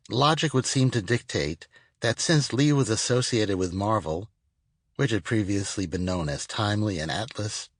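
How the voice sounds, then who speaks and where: A man's voice: fairly low pitch, no echo. A man reading his own nonfiction audiobook as a scripted narration, recorded in a studio.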